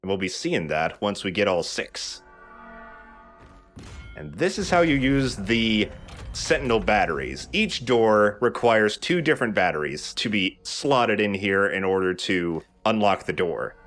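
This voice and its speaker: Man, lowish voice, highly animated intonation, casual let's play commentary. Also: measured pace, faint music behind. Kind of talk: casual game commentary over footage.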